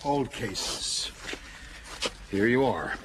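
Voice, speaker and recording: An actor playing a hard-nosed, middle-aged retired homicide detective, blunt and matter-of-fact in a radio drama. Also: male voice, medium pitch, expressive, extremely slow, noisy background. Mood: grim, matter-of-fact.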